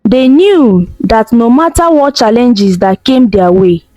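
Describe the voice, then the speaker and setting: A woman narrating a folktale in a gentle, expressive way. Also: on the high side, unhurried, dry recording. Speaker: storyteller. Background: soft music.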